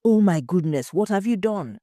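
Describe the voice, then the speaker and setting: A woman's voice: medium pitch, lively intonation, normal speed, warm tone, no echo, clean studio sound, natural voice. A woman voicing a shocked, heartbroken but tender husband in an audio story, weeping and pleading. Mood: horrified, weeping. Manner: groaning, weeping, pleading questions. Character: horrified, grieving, tender husband of a confessed killer.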